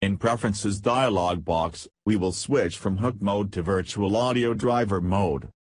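A man narrating a scripted software tutorial in a flat, read-aloud instructional way. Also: fairly low pitch, a little slow, husky, dry recording.

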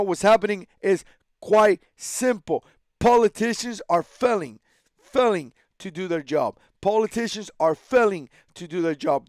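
A man's voice: high voice, no room sound, studio quality. A man in his thirties, born in Cuba, hosting his own channel and speaking into a headset mic with indignant, emphatic commentary full of repeated phrases and restarts. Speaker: channel host. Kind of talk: opinion commentary to camera. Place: small home studio room.

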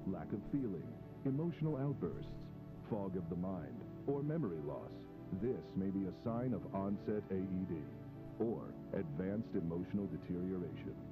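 A man's voice: sits low, lively intonation, normal speed. A man playing a formal, clinical announcer narrating an eerie drug infomercial. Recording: dull lo-fi tape with music behind.

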